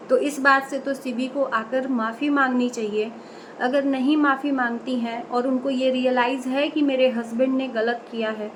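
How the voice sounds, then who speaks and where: A woman's voice: high-pitched, a bit bright, slight background noise, poor audio. A woman vlogger reacting to a call recording, talking straight to her viewers in an earnest, opinionated way, often breaking off and correcting herself. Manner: many fillers and self-corrections. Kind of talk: direct-to-camera commentary.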